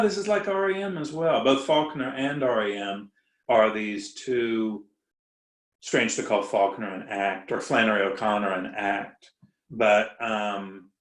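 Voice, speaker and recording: Thoughtful low-voiced man in echoey room. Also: fairly bright tone. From Southern US. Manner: thoughtful, hesitant, with pauses. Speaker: writer, academic. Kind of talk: casual conversation.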